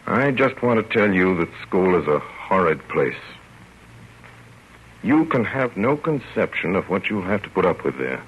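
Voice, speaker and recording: Deep-voiced wry father, old radio. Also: sing-song, measured pace, slightly rough, full character voice. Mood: wry narration. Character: fond, wry, gentlemanly father telling his story. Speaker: narrator. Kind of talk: 1930s radio storytelling. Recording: muffled, noisy old radio broadcast.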